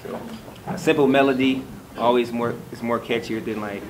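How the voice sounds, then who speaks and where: A man speaking casually and haltingly as a songwriter on a panel, explaining step by step over noise. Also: medium pitch, steady tone. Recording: clear background noise.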